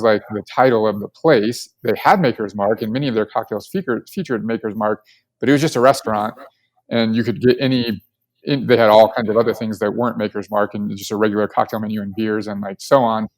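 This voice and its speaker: A man's voice: fairly low pitch, low-quality recording. A man from Colorado, the guest co-founder, answering questions casually and with enthusiasm, with lots of filler words. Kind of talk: casual podcast interview.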